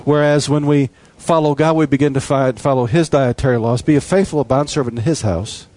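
A man's voice: mid-range voice, fairly quick, dark tone, no echo. A man preaching a sermon with an emphatic, repetitive cadence.

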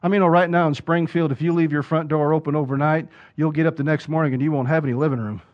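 A man preaching a sermon, building from steady talk to loud, emphatic peaks. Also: on the high side, fast talker. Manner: rises to loud emphatic preaching peaks, acts out quoted voices. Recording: dull lo-fi sound.

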